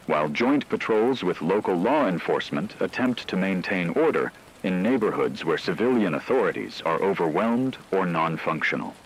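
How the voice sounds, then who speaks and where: A man voicing a grave radio news anchor in a dystopian crisis broadcast, reading scripted news in a measured, solemn, husky way. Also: low-pitched, slight background noise, poor audio.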